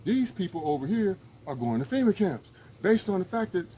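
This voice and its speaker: A man's voice: high voice, big pitch swings, brisk, warm tone, a little hiss or hum, rough recording. Earnest man making a rambling appeal. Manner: earnest, pleading, rambling with restarts. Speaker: emergency management instructor. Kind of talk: casual direct-to-camera appeal.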